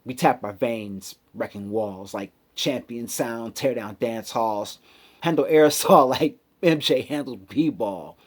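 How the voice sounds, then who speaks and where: A man performing spoken word poetry with a hip-hop cadence, rhythmic and emphatic, pausing deliberately between phrases. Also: mid-range voice. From United States city.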